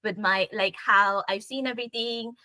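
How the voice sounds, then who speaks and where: Young woman, casual chat, bright tone, dry close sound. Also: medium pitch, fairly even intonation, normal speed, dry recording. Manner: chatty, laughs often, says "like" a lot. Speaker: panel guest.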